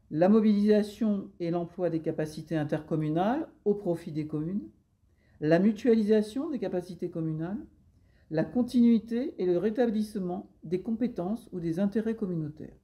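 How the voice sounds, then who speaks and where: Woman with a very dark, mellow voice. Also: mid-range voice. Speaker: public service agent, interviewee. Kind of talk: explanatory interview answers.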